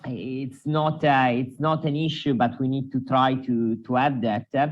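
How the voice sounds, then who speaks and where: A man with a strong Italian accent giving tips as a journal editor on a conference panel, pausing often on fillers. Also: medium pitch, steady tone, highs cut off. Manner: hesitant, many fillers.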